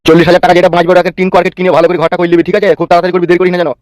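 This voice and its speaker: A man's voice: high-pitched, completely flat delivery, racing, a little mellow, dry recording, studio quality, natural voice. A man playing a scheming, bossy middle-aged village uncle in a comic cartoon. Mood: bossy, persuasive.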